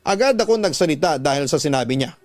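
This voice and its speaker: A man's voice: fairly high pitch, expressive, fast talker, no echo, faint music behind. A man narrating a scripted first-person radio drama in an emotive storytelling manner.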